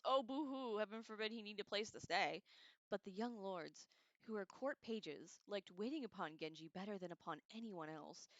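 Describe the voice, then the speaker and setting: Bright, animated woman streamer. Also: medium pitch, no room sound, lo-fi sound. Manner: irreverent, sarcastic, slangy; expressive, animated asides. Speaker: livestream host. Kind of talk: casual read-aloud with joking commentary.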